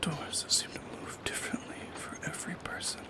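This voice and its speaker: A man voicing a lonely, shy shadow creature in an ASMR roleplay, speaking in a soft, halting, intimate whisper with a creaky edge. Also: natural voice. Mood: anxious musing. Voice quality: breathy whisper with creaky edges.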